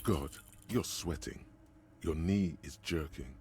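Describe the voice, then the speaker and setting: A man's voice: extremely low pitch, slow pace, darkish tone, a little hiss or hum. A man voicing the grave, taunting inner voice of a detective's mind in a video game.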